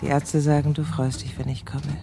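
A woman's voice: deep voice, little pitch movement, normal speed, vocal fry, over music. An actress playing an obsessive, bitter, lovelorn middle-aged woman in a radio crime drama, intimate and often dropping to a whisper. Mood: tender, mocking.

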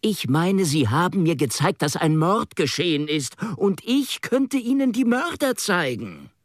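Animated, husky, impulsive young gentleman. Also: on the high side, a little slow, no echo, studio quality. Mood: agitated, accusing. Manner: abrupt, insistent, emphatic. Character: strong young English gentleman, impulsive, accusing, agitated. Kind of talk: German radio drama acting.

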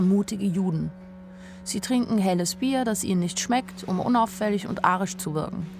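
A woman's voice: lowish voice, natural voice. An actress playing a candid, naive nineteen-year-old woman who tells her own story plainly in a radio drama. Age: young adult. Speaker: actress narrating as the main character. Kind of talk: radio drama first-person narration.

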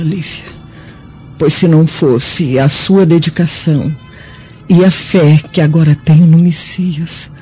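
Slow, dark-voiced dying Roman noblewoman. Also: fairly low pitch. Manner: solemn, halting, formal. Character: dying, remorseful, humble older Roman noblewoman. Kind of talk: radio drama acting. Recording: dull lo-fi audio with music behind.

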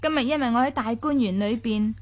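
A woman's voice: on the high side, fairly quick, natural voice. A woman playing a worldly, assured young mistress of a wealthy household in a radio drama, sociable. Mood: concerned. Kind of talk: Cantonese radio drama acting.

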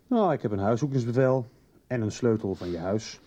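An actor playing a stern, threatening police detective who questions sarcastically in a radio drama. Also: male voice, medium pitch, expressive, brisk, mellow, natural voice. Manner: pressing, sarcastic interrogator. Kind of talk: 1990s radio drama acting. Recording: dull lo-fi 1990s radio drama.

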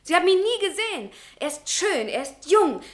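A fiery, romantic young student in a radio play, her voice high and clear. She speaks with fervent, dreamy conviction. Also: very animated, brisk. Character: passionate young woman student, romantic and headstrong.

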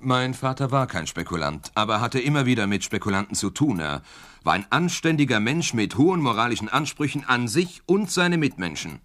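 An actor playing an earnest, formal heir who hires a detective in a radio play, with a Swiss Standard German accent. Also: male voice, mid-range voice, very animated, a little fast, fairly bright tone. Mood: insistent, defensive. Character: earnest, insistent male heir seeking a detective's help. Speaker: client.

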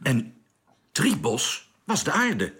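An actor playing a commanding but doubting spaceship chief in a radio drama, with a husky voice and urgent, questioning manner. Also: male voice, medium pitch, huge pitch swings, takes it slowly, a bit bright, exaggerated voice. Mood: puzzled.

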